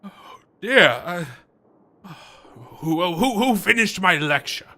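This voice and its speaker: A man playing a confused, rambling history professor in a radio play, halting and stammering. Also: high-pitched, animated, pushed and bright, heavily acted. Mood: bewildered.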